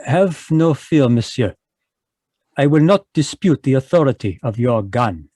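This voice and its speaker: An actor playing a fussy, courtly Belgian detective, in a live radio drama over a video call. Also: male voice, medium pitch, animated, measured pace, mellow, no echo, studio quality. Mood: smug, courtly. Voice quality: precise, courtly French-inflected delivery. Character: fussy male Belgian detective, polite and sly.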